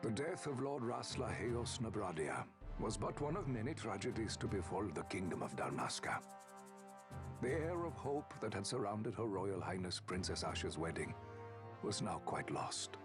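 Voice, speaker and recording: A man's voice: lowish voice, sing-song, normal speed. An actor playing a solemn male chronicler, narrating a fantasy game's tragic history in formal, literary style. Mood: solemn, grave. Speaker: story narrator in a fantasy video game. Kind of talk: scripted dramatic narration, reading memoirs. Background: loud orchestral game music.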